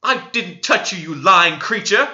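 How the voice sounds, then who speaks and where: A man's voice: high-pitched, lively intonation, measured pace, pushed and bright, muffled recording, pitched above the performer's own voice, exaggerated voice. A man voicing a willful, spiteful teenage girl in a storybook reading, with imperious exclamations. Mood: furious.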